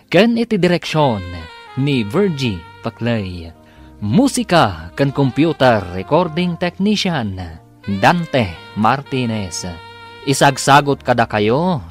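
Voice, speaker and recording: Slow Ilocano radio drama announcer man. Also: mid-range voice. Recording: music bed under the voice.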